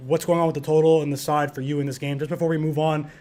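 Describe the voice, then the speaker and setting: A man's voice: on the high side, little pitch movement, fast talker, crowd noise. A man hosting a sports betting podcast, easygoing and full of fillers, reading out lines and chat comments. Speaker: podcast host.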